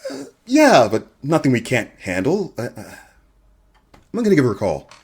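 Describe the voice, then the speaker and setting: A man playing a modest, earnest, smitten radio host in a radio comedy-drama, with a hesitant, understated, halting delivery. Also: mid-range voice, wildly swooping pitch. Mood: resolved.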